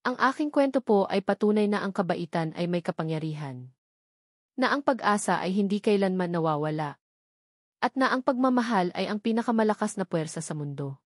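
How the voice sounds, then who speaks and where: A woman's voice: mid-range voice, lively intonation, a little fast, no echo, clean studio sound, close to an everyday voice. A woman voicing a kind, hopeful young woman, the earnest and tender heroine of a story.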